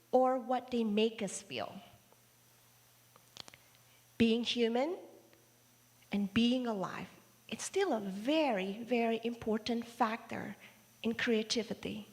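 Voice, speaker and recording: A woman who grew up in Indonesia preaches a sermon at a very unhurried pace, her voice sitting a little high. She tells stories with playful asides. The sound rings with the long reverb of a church hall livestream. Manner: measured, warm storytelling with playful asides.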